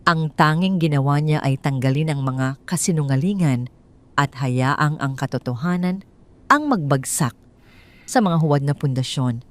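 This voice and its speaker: A woman with a Filipino accent narrating a scripted dramatic story. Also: deep voice.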